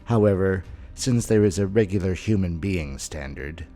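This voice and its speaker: A man hosting a podcast, delivering measured, wry scripted commentary with rhetorical turns. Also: low voice, warm tone. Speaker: podcast host. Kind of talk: scripted commentary over audio clips. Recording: music bed under voice. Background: instrumental music.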